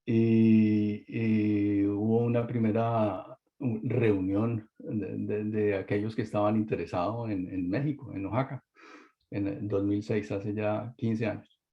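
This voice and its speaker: A man presenting a webinar talk, halting with many fillers and restarts, over a video-call mic. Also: on the low side, steady tone. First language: Spanish. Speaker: webinar speaker. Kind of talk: hesitant presentation. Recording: dull lo-fi webinar audio.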